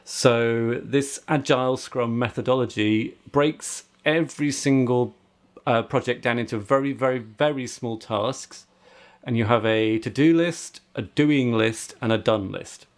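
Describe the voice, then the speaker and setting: A man's voice: medium pitch, unhurried. A man co-hosting a podcast, with a mid-range voice. He talks in a casual, conversational style and tends to explain things as he goes. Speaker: podcast co-host.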